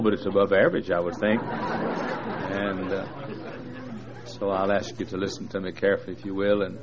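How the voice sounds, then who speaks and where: A man in his sixties, a visiting preacher, joking folksily with a large congregation, with many fillers. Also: lowish voice. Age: 60s. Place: large church. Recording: dull lo-fi recording with faint noise.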